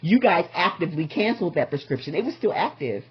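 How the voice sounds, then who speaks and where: A woman's voice: on the low side, very animated, fast. A woman speaking as a patient on a phone call, pressing her questions in an insistent, demanding, confrontational way and often repeating them. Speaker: patient calling a medical provider. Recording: muffled lo-fi phone call recording.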